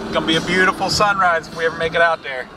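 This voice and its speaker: A man's voice: on the high side, fairly even intonation, racing. A man in his thirties, a fishing vlogger, chatting casually to the camera from his truck with an easygoing manner and plenty of "uh" fillers. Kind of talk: casual vlog narration to camera. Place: inside a pickup truck, on the road and by the water. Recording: engine and road noise behind.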